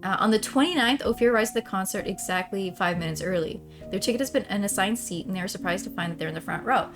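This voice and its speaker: A woman reads a visual novel aloud and chats casually as she plays it. Her pitch is middling, and she often trails off mid-thought in an informal way. Music plays behind her voice. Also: quick pace. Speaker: streamer playing and reading a visual novel. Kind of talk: casual let's-play commentary mixed with read-aloud narration.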